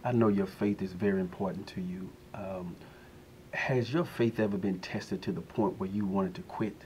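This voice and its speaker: A man hosting an interview, putting measured questions to his guest. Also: sits low, fairly even intonation, darkish tone, slight background noise, poor audio. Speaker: interview host.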